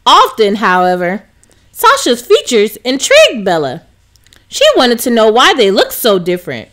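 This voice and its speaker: A woman's voice: a little high, huge pitch swings, normal speed, a bit bright. A woman narrating a scripted children's story as a storybook reader.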